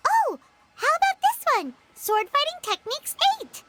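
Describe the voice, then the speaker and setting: A woman voicing a tiny, excitable, bossy childlike fairy companion in a video game, with a squeaky voice and exclamatory manner. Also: moderate pace, over loud music, full character voice. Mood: wary, chatty. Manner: refers to self in third person, exclamatory.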